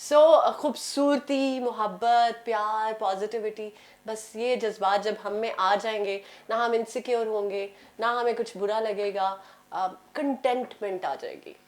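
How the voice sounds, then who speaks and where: A woman with a Pakistani accent talking casually as a guest, expressive and mixing in English phrases. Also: on the high side, measured pace. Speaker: talk show guest, radio presenter and voice artist. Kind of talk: casual conversational talk.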